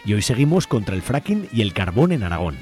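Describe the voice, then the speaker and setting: A man's voice: on the low side, big pitch swings, fast, a little mellow. A man with an accent from Spain reading scripted narration as co-host of a radio show. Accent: Spain Spanish. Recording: music bed behind the voice. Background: music.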